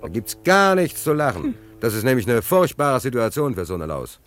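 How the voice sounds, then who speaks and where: Ein Mann spielt in einem deutschen Hörspiel einen gestrandeten, ruhelosen Seemann, der nachdrücklich und abschweifend erzählt und sich oft wiederholt. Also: male voice, mid-range voice, sing-song, moderate pace, a bit bright, faint music behind. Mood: agitated lecturing. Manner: emphatic, rambling, repeats himself, hesitant fillers. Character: stranded, restless seaman, rambling and philosophizing about his luck. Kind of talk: German radio play dialogue.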